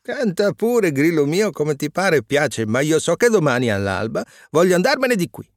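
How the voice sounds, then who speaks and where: A man voicing a cheeky, defiant wooden puppet boy in a storybook reading, loud and pushy. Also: very animated, moderate pace, no echo, studio quality. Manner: loud, pushy, high-pitched.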